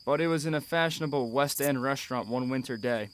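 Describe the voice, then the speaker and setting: A man's voice: mid-range voice, fast, a little hiss or hum, lightly acted. An actor playing a wistful, proud middle-aged father in a radio drama, articulate, wry and formal. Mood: reflective, wry. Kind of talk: radio drama acting, letter narration.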